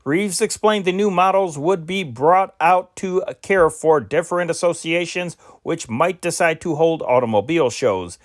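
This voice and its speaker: A man reads old newspaper dispatches aloud in a slightly raised register. His delivery is measured and unpolished, and he sometimes stumbles and corrects himself. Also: on the high side. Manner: amateur, measured reading with occasional slips and self-corrections. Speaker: news reader.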